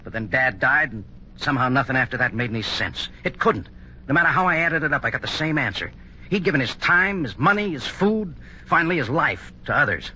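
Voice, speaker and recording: Intense, fast-talking defiant young man. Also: medium pitch, animated, bright, forceful tone. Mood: bitter, defiant. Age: young adult. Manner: brisk, intense, stubborn. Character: bitter, defiant young minister's son. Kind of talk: 1950s radio drama acting. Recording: muffled old radio recording, faint noise.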